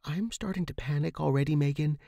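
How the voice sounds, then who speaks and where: Man putting on a nervous young woman, pitched up. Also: fairly quick, creaky, dry recording. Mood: reluctant, panicky. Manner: anxious, hesitant, hushed.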